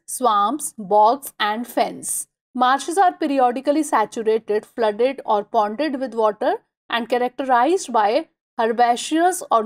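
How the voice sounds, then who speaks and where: A woman with an Indian English accent reads scripted lesson notes over slides in an even, measured way. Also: fairly high pitch. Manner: even, measured reading of list items. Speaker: exam-prep lecturer.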